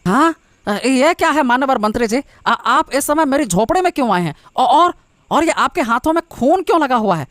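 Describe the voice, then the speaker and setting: A man's voice: very high voice, lively intonation, moderate pace, fairly bright tone, dry recording. A performer voicing a timid village healer man in a cartoon story, stammering and hesitant. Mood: fearful. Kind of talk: Hindi cartoon story voice acting.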